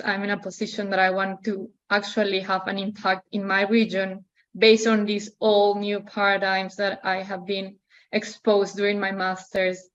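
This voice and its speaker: Young female participant, Peruvian Spanish accent, even intonation, searching for words, muffled lo-fi call audio. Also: mid-range voice. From Peru. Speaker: participant asking a question. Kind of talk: spontaneous question in a discussion.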